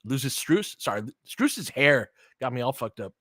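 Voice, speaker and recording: A man's voice: mid-range voice, sing-song, a little slow, a bit bright, no room sound. Man, casual basketball commentary, dry close sound. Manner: conversational, slangy, swears casually. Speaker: podcast host doing play-by-play.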